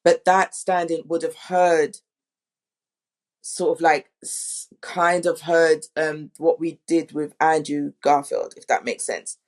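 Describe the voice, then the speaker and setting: A woman director in a casual panel talk, thinking aloud in a halting, conversational way. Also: low voice, fairly even intonation. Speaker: audio drama director.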